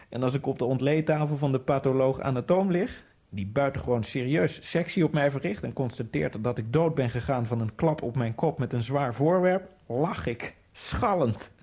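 An actor playing a dead Egyptian immigrant man who narrates his own fate in a radio drama, in a quiet, dry and sardonic way. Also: medium pitch, husky. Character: dead male Egyptian immigrant, wry and detached. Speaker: first-person narrator.

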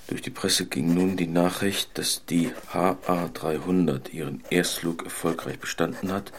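Deep, gravelly, aggrieved German engineer. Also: male voice, slight background noise. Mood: formal, measured. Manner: formal, deliberate, aggrieved. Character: embittered German aircraft engineer dictating a letter. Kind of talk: radio drama, dictating aloud with spoken punctuation.

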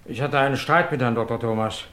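Ein Schauspieler spielt in einem Krimihörspiel einen unsicheren, ausweichenden Angestellten, der verhört wird und zögernd antwortet. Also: male voice, mid-range voice, fast talker, fairly bright tone, echoey room, close to an everyday voice. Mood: guarded, uneasy. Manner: hesitant, guarded. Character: uneasy, evasive dismissed male employee questioned by police. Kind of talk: German radio crime play acting.

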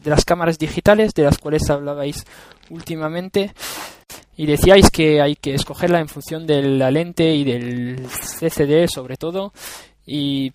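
A man's voice: fairly high pitch, darkish tone, no echo. A man with a French accent, a native French speaker, leaving a hesitant, friendly voice message to a podcast. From France. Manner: hesitant, many fillers and restarts. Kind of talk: casual recorded message.

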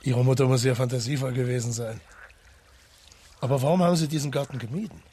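An actor playing a courteous, plain-spoken police officer who probes quietly and matter-of-factly in a German radio play. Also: male voice, medium pitch, steady tone, fast talker, slight background noise, lightly acted. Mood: politely probing. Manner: polite, reserved, matter-of-fact.

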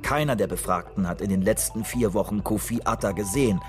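An actor playing an officious police investigator in a radio drama, talking in a businesslike, official way. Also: male voice, lowish voice, brisk, over music, lightly acted. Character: male police investigator, brisk, officious, in charge. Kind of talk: radio drama acting, dictating a formal report.

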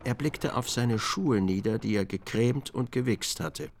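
Male narrator, creaky mid-pitched voice, measured precise literary reading, radio drama.

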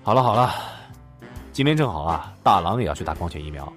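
Man acting forgiving, earnest fellow, lowish voice, very lively intonation, audio drama, music behind. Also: fast talker. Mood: flustered, concerned. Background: background music.

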